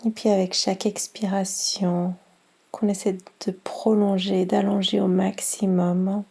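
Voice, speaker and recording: A woman leads a guided relaxation in a soft, mellow voice. Her delivery is very slow and soothing, with breathiness throughout. She gives calm instructions as a meditation teacher would. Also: medium pitch, gravelly, breathy edge. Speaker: yoga nidra instructor and guide.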